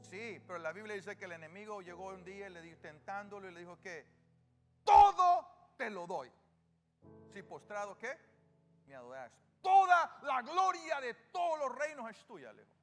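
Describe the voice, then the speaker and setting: A Salvadoran man with a notably high voice preaches a sermon, firing questions at the congregation and answering them himself. His voice carries through a long, reverberant hall. Soft music plays behind him. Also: big pitch swings, normal speed. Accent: Salvadoran Spanish. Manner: call-and-response questions to the congregation. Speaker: pastor.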